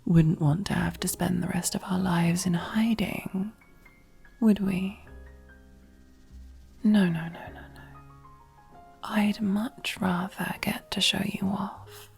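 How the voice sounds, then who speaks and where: A woman playing a commanding, possessive and silkily affectionate female mafia boss in an ASMR roleplay, speaking intimately in a breathy, husky voice that often drops to a whisper. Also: medium pitch, fairly even intonation, soft background music. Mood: calm, explaining. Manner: slow, controlled, softly commanding, intimate.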